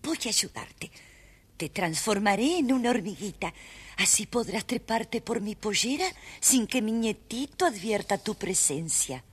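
An actress voicing a kindly, sly old grandmother of an ogre in an audio storybook, with a husky voice. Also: medium pitch, animated, measured pace. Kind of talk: audio storybook character acting.

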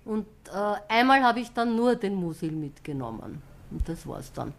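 A woman chats in an interview, telling things anecdotally. Her pitch is average and her manner is self-mocking. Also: sing-song, normal speed, creaky. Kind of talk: anecdotal casual talk.

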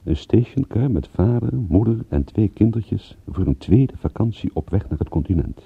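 An actor playing a wry, world-weary private detective in a radio drama, sardonic, with a gravelly, husky voice. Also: male voice, on the low side, animated, normal speed, no room sound, heavily acted. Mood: wry, reflective. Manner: sardonic, dry-witted. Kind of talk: radio drama narration and dialogue. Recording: muffled, phone-like old radio recording.

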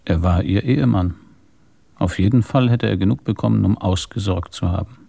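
An actor playing a calm, methodical police inspector who reasons matter-of-factly in a German radio crime drama. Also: male voice, low-pitched, a little fast, vocal fry. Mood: explaining, assured.

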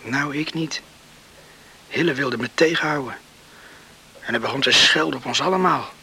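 A man's voice: medium pitch, normal speed, pushed and bright, slightly rough, exaggerated voice, low-quality recording. An actor playing a hot-headed young village man devoted to his mother in a Dutch radio play. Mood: quieter, grumbling. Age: young adult.

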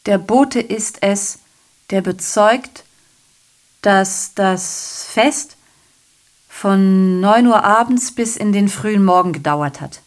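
A woman's voice: mid-range voice, slow pace, natural voice. Garrulous, self-pitying messenger played by a woman. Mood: confiding, rueful. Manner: digressive, self-correcting, theatrical delivery. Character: garrulous, self-pitying messenger reporting a night of revelry and shootings. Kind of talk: German radio play monologue.

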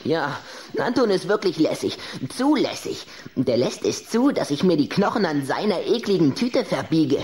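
Casting: a petulant young vampire boy for children's radio play, mocking, very lively pitch swings, on the low side. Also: normal speed. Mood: sulky, teasing. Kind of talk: German children's audio drama acting.

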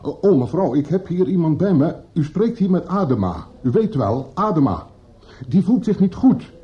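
A man's voice: on the high side, sing-song, normal speed. An actor playing an obliging, down-to-earth male barkeeper in a radio play, speaking plainly and with concern. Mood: busy, coaxing. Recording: dull, noisy old radio play recording.

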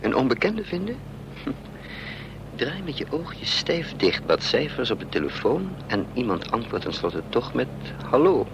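Nervous plotter in an old radio play, mutters and hesitates, voice jumps around, noisy recording. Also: male voice, medium pitch, big pitch swings, a little slow, dull audio. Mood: brooding, urgent. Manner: hesitant, muttering, thinking aloud. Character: anxious, scheming male adventurer on the run, thinking aloud. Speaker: protagonist and narrator of a radio play. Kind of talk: Dutch radio play acting.

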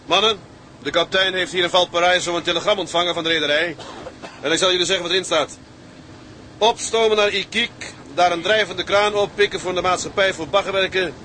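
An actor playing an earnest, forthright young ship's mate in a radio play. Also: male voice, high voice, brisk, sharp tone, a little hiss or hum, low-quality recording. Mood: announcing.

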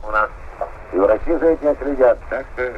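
A man's voice: medium pitch, moderate pace. Man over a tinny, noisy radio link. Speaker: polar station worker being interviewed. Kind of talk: radio interview answers. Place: polar station radio room.

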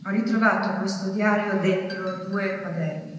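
An actress playing an anguished wife awaiting her husband's return from war, delivering a theatrical diary monologue in halting, deliberate fragments. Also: mid-range voice, fairly even intonation, over loud music, lightly acted. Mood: grave, reflective. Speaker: stage actress performing a monologue. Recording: very echoey hall, distant mic, noisy.

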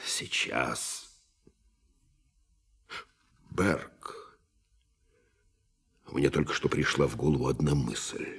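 An actor playing a proud, skeptical scientist in a radio play, thoughtful and emphatic. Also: male voice, a bass voice, steady tone, very slow, vocal fry, pauses often, fairly bright tone, breathy edge, full character voice. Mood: pensive, doubtful. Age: young adult.

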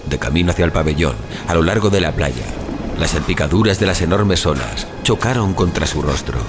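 A man's voice: low-pitched, animated, brisk, noisy background. A man narrating a scripted suspense horror story as an audio fiction narrator. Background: music.

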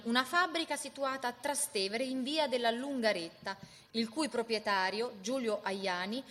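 A woman's voice: on the high side, a bit bright. A woman reading scripted historical narration for radio theatre in a dramatic storytelling style.

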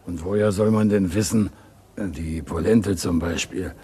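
An actor playing a cocky small-time burglar in a radio crime drama, talking in cheeky streetwise slang. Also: male voice, low-pitched, a little slow, mellow.

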